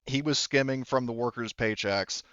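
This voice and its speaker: A man with a smooth, clear voice narrates a tabletop roleplaying session as game master. He improvises casually and haltingly, swearing freely. The sound is close and dry, if a little dull. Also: mid-range voice, a bit bright, dry recording.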